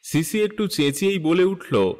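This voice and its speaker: A man narrating a literary story in an expressive, measured, dramatic style. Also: high voice, big-room sound.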